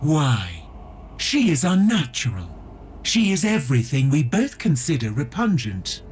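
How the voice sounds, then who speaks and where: A sly, disdainful alien commander in a video game. He talks deliberately and scornfully with lively swings of pitch, over faint noise. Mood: cold, persuasive. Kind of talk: dramatic video game acting.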